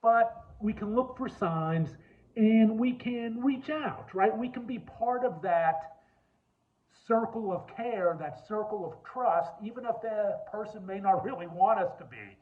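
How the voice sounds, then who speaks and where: A man with a deep voice giving an earnest personal talk, telling his story and repeating points for emphasis. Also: measured pace. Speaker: speaker on lawyer wellbeing. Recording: tinny phone-like line.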